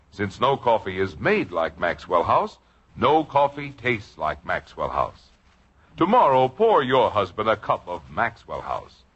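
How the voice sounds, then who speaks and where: Husky, animated male radio announcer. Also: mid-range voice. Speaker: radio announcer reading a sponsor's ad. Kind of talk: 1950s radio commercial announcing. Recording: dull vintage radio recording.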